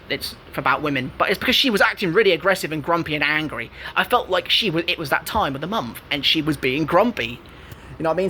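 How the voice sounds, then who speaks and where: A man in his twenties with an English accent and a high, squeaky voice, rambling through an aggrieved retelling of an online argument. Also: pushed and bright, a little hiss or hum. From England. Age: 20s. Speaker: gaming vlogger. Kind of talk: casual commentary.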